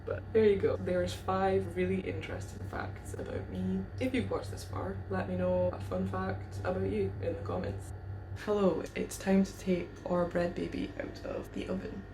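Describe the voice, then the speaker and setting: A woman's voice: fairly low pitch, flat delivery, moderate pace, noisy background. A woman in her twenties with a Scottish accent, vlogging casually while baking in her home kitchen, chatty, giggly and self-deprecating. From Scotland.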